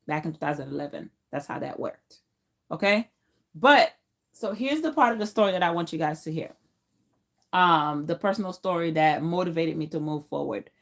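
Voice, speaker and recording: A woman around thirty from Haiti, a non-native English speaker who learned it as a teenager, tells personal stories to a live stream in an informal, emphatic way, repeating phrases. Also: lowish voice. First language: not English, learned it as a teenager. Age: late 20s to early 30s. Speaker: livestream host.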